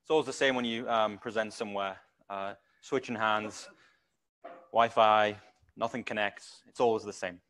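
A man's voice: on the low side, fairly even intonation, normal speed, a bit bright. A man from England with a Geordie accent, giving a casual developer talk to a meetup audience, full of ums and restarts. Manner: rambling, many ums and restarts. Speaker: conference speaker, developer. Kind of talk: casual tech talk presentation.

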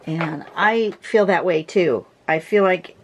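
A woman's voice: on the low side, takes it slowly, slight background noise, poor audio. Congested woman chatting through a drawing lesson. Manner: chatty, restarts a lot, clears her throat. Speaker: drawing teacher. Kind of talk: casual tutorial narration. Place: home desk, camera over the drawing surface.

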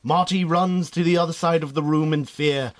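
A man's voice: on the high side, fairly even intonation, moderate pace. A man with a Northern Irish accent narrating a scripted radio drama.

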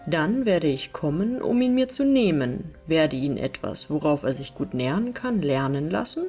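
A woman plays the devil as a courteous stranger in a storybook tale. The words come measured and formal, with a commanding temper, over background music. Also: low-pitched, sing-song, warm tone. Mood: solemn, commanding. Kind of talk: fairy tale audiobook reading.

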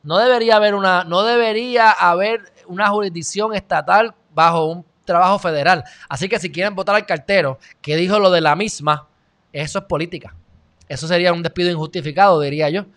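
A man in his thirties with a Puerto Rican accent, hosting a live stream and reading viewer comments close to a studio mic, animated and shifting between loud and quiet. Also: sits high, fairly quick. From Puerto Rico. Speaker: host. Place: small indoor studio. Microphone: studio mic on stand, close.

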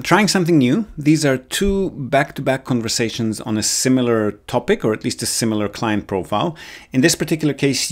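A man hosting a podcast as a real estate consultant, explaining casually with frequent pauses and ums over a call. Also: mid-range voice.

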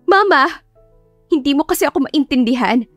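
Spoiled scheming sister played by a woman, young, high-voiced, whiny and emphatic, audiobook melodrama. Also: expressive, normal speed, no echo, faint music behind. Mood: resentful protest. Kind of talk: audiobook character acting, melodramatic.

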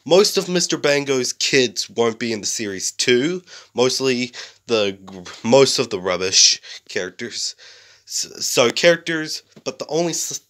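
A young man, sick and a bit rough-voiced, rambling casually to a close camera in a small bedroom, full of fillers and restarts. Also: medium pitch, expressive, a little slow, fairly bright tone. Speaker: series creator.

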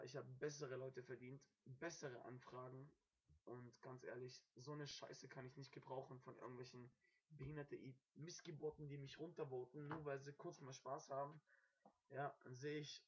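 Hoarse young man ranting on webcam. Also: mid-range voice. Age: young adult. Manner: rambling, annoyed rant with many fillers. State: hoarse from a cold. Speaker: beat producer. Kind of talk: casual webcam vlog. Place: small dim room indoors. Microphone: webcam microphone, quiet at first. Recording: dull lo-fi webcam audio.

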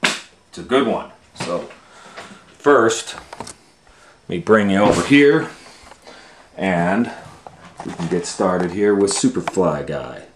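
Very deep, unhurried man reading a storybook. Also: lively intonation, a little hiss or hum. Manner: drawn-out emphatic phrasing with asides. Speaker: storytime host and reader. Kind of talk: animated children's storybook read-aloud. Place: indoor room, reading a book on a table. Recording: roomy, echoey indoor sound.

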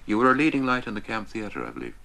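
A deep-voiced radio host keeps his questions short and courteous. He nudges the guest along from one chapter of his life to the next, heard through an old 1961 broadcast recording. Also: very animated, fast talker, creaky, pushed and bright. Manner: brief, polite prompting questions. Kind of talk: radio interview.

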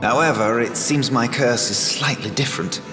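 An actor voicing a sly, suave talking frog who was once human, in a teasing, measured video game performance. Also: male voice, medium pitch, close to an everyday voice. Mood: sly, matter-of-fact. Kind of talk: scripted video game voice acting. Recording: roomy hall reverb, music underneath.

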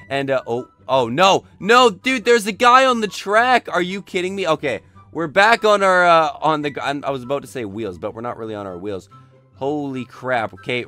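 A young man gives casual, excitable commentary over a game, repeating short exclamations as he goes. His voice is clear and a touch high despite his being under the weather, with music playing behind him. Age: young adult. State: sick, says he is losing his voice. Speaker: gaming video host. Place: indoor desk setup.

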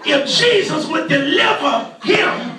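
A man's voice: very high-pitched, very animated, slow pace, distant mic. A middle-aged man preaching a fervent sermon, emphatically repeating phrases and calling on his congregation. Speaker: pastor preaching. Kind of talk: fervent church sermon with call and response. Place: medium church hall, indoors. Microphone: handheld PA mic, recorded by audience phone. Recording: echoey church hall, phone in audience, noisy congregation. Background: music and congregation responses.

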